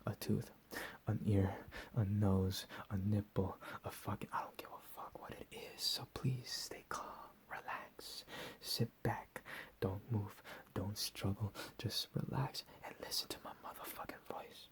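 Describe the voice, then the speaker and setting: A man playing a deranged, menacing captor in a whispered ASMR roleplay, profane and slow with drawn-out emphasis. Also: on the low side, fairly even intonation, natural voice. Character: deranged menacing male captor, recently escaped asylum.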